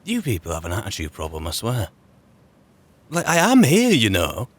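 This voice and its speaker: A man's voice: on the low side, huge pitch swings, moderate pace, no echo. A man roleplaying a wry, boastful archmage adventurer, muttering sardonic asides in colloquial speech as he plays. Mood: irritated, boastful. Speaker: roleplaying gamer narrating in character as an adventurer mage. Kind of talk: in-character game roleplay commentary.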